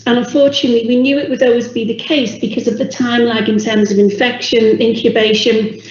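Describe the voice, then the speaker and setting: English woman presenting data at a meeting, going hoarse, roomy lo-fi call audio. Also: a little high, level tone, a little mellow. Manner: measured, with frequent ums. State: losing her voice. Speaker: public health officer presenting. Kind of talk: online council meeting briefing. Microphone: video call. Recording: echoey, dull video call audio.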